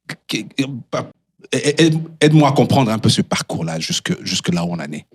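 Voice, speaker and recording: A man with a deep, husky voice hosts a talk show. He puts questions to his guest in a casual, conversational style. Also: sing-song, normal speed. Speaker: podcast host, interviewer.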